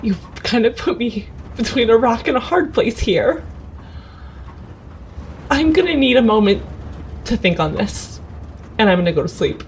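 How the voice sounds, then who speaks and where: A woman improvising in character in a tabletop game, firm and deliberate, sometimes halting. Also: a little high, sing-song, a little hiss or hum. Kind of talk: improvised in-character role-play. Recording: music playing under the voice.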